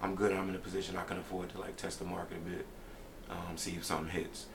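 A man from Brooklyn, a rapper as a guest, talking casually in a laid-back, meandering way with many pauses. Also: low-pitched, little pitch movement, noisy background. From Brooklyn, New York. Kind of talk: casual interview chat.

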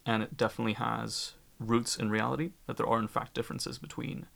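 A man's voice: medium pitch, fairly even intonation, a little fast, vocal fry, fairly bright tone. A man from Ukraine speaking as a guest on a discussion panel, candid and emphatic, often restarting his sentences.

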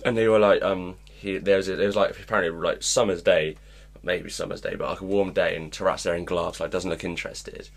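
A man with a very low, deep voice talks casually about football, meandering through stories and leaning on "like" as he goes. His delivery is loose and unhurried, the tone of a relaxed chat between friends. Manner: rambling, full of "like" fillers. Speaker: podcast co-host.

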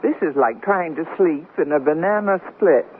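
A woman's voice: low voice, animated, normal speed. A woman playing a wry, wisecracking middle-aged newlywed in a 1970s radio comedy drama. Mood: wry, bantering. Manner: dry, wisecracking. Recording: noisy, narrow-band 1970s radio recording.

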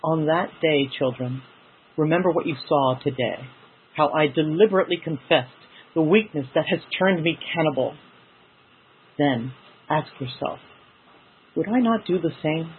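A man giving a dramatic poetry recitation with deliberate, theatrical phrasing. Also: on the high side, slight background noise. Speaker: poetry reader. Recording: husky, muffled phone-like audio.

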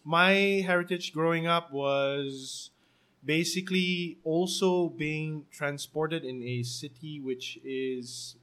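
Man, twenties, Singaporean English accent, relaxed, chatty, frequent laughter, interview. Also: on the high side, slow, clean tone. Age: 20s. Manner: relaxed, laughs often, many fillers. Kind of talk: casual conversational storytelling.

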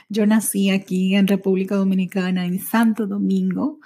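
A woman in her thirties shares her own life story on a podcast she records at home. Her voice is mellow and warm, at an ordinary middle pitch. She talks intimately and reflectively, often pausing on a soft "eh". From United States. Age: 30s. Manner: intimate, reflective, frequent "eh" pauses. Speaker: podcast host. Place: small home apartment. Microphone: studio microphone.

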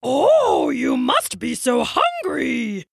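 Creaky, wildly swooping goblin leader. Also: female voice, high-pitched, wildly swooping pitch, no room sound, clean studio sound, voice pushed up, exaggerated voice. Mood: mock-sweet, teasing. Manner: drawn-out, mocking delivery. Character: gloating, cruel blue goblin leader, crude and taunting. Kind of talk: storybook character voice.

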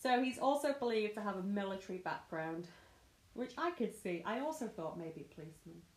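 A woman's voice: medium pitch, animated, fairly quick. Giggly British woman chatting in an echoey room. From Britain. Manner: giggly, chatty, self-mocking. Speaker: podcast host. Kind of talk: casual true crime chat.

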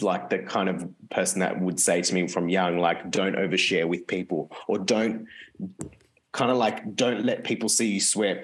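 A man co-hosting a podcast, talking casually and earnestly with lots of "like" fillers. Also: low voice. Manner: rambling, frequent "like" fillers, open and earnest. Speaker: podcast co-host. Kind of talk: casual conversation.

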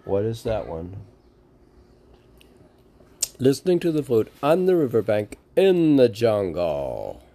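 Slow, low-voiced man with a gravelly creak. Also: wildly swooping pitch, warm tone. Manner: musing, asks and answers his own questions. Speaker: poetry reader and commentator. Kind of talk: casual rambling commentary and poetry reading.

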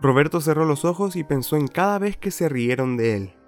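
Male narrator, Chilean accent, slightly high voice, colloquial storytelling, clear music bed. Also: animated, a little fast. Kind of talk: scripted story narration for radio play.

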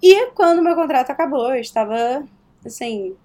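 A woman from Rio de Janeiro with a Carioca accent, a guest chatting casually in an interview, animated and quick to laugh. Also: high-pitched. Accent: Carioca Portuguese. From Rio de Janeiro, Brazil. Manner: animated storyteller, laughs often.

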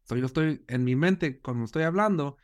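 A man's voice: on the high side, normal speed. A man chatting casually as a podcast guest, dropping English filler words into his speech. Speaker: guest video creator. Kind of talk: casual panel chat.

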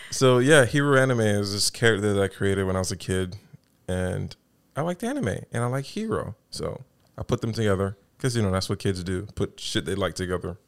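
A man's voice: lowish voice, wildly swooping pitch. A man in his thirties from the United States, co-hosting a casual podcast and often searching for his words. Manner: halting, searching for words, easygoing. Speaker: podcast co-host.

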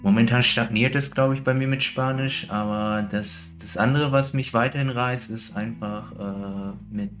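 A man's voice: mid-range voice, fairly even intonation, normal speed, few pauses, fairly bright tone, faint music behind. A man in a casual conversation, reflecting with some hesitation.

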